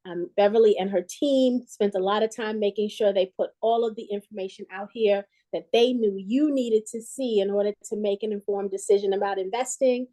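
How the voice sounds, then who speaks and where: A woman's voice: mid-range voice, fairly even intonation, brisk, hardly any pauses, mellow. Chatty woman host on a video call. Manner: chatty, addresses viewers directly. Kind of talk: livestream pitch hosting. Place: small indoor room.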